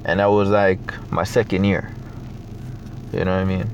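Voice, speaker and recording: A young adult man from Jamaica with a Jamaican accent, talking in a reflective, halting way with many fillers as a guest in a casual interview. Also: deep voice, flat delivery, dull audio, slight background noise.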